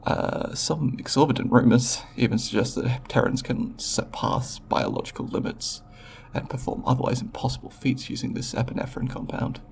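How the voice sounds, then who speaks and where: A man playing a timid, scholarly alien researcher in an ASMR roleplay, speaking haltingly and politely in formal scientific language with a creaky voice. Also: mid-range voice, slight background noise. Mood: nervous, explanatory. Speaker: roleplay voice performer.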